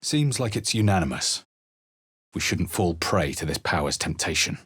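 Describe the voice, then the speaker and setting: A man playing a grave, brooding adventurer hero in a fantasy video game, narrating in a measured, solemn, dramatic way. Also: deep voice, big pitch swings, fairly bright tone, breathy edge, no echo, studio quality, exaggerated voice. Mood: resolute. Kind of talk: dramatic first-person game narration and character lines.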